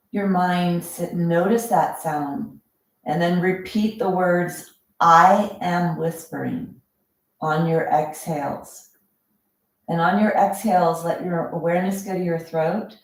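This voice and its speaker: A woman teaching yoga breathing as a guided meditation, speaking calmly and without hurry in drawn-out instructive phrases. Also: on the low side. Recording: very echoey room, distant microphone.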